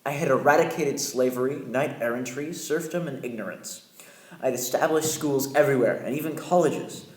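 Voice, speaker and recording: A man's voice: mid-range voice, moderate pace, darkish tone, reverberant, lightly acted, low-quality recording. A man acting as an easygoing American adventurer narrating his story in a radio play. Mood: proud, wary.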